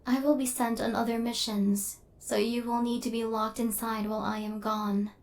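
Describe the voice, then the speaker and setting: A woman plays a female robot hunter in an intimate ASMR roleplay. Her voice sits fairly high and stays very level, with stiff, formal wording. She is coldly logical, yet possessive and tender toward her human target. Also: moderate pace, close to an everyday voice. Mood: matter-of-fact, caring. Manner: formal, clipped machine-like phrasing, deadpan.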